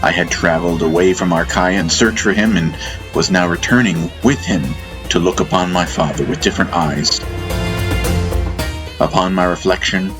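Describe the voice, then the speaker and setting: A man narrating a fantasy audiobook as dramatic first-person storytelling, in a solemn, measured manner. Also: low voice.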